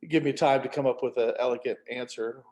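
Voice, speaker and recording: Male author on a panel, mid-range voice, casual, halting, wry self-deprecating delivery. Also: medium pitch, steady tone, darkish tone. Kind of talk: casual conversational interview.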